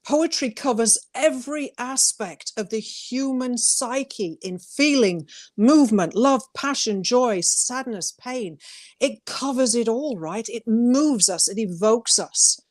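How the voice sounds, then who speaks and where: A woman's voice: on the high side, animated, slow pace, darkish tone, dry recording. Earnest, emphatic woman talking poetry, dry sound. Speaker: talk show co-host and poet. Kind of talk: heartfelt talk and poetry reading.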